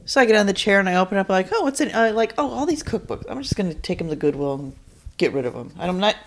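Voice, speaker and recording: A middle-aged woman with a medium-pitched voice talks casually as a podcast guest. She rambles through her story, quoting her own thoughts along the way. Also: big pitch swings, quick pace. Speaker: podcast guest, the hosts' mother. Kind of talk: casual chat, rambling anecdote.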